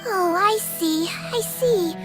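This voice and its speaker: A woman voicing a small girlish sprite nurse in a game, gentle, earnest and precise, with music in the background. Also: very high voice, takes it slowly. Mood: earnest, clinical. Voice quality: childlike. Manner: polite, matter-of-fact, precise. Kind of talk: English game voice acting.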